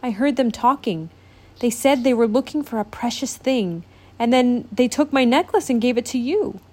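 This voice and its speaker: An earnest young boy, polite and a little anxious, with a higher, brighter voice pitched up above the narration, as a woman reading a storybook puts him on. He talks eagerly and quickly when puzzled. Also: animated. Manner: eager, questioning.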